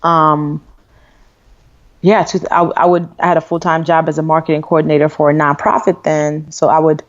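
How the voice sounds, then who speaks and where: A woman's voice: low voice, flat delivery, moderate pace. A woman in her thirties, born in Nigeria, talking candidly as an interview guest with frequent fillers. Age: 30s. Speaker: guest author and blogger. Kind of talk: interview conversation. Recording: dull lo-fi sound.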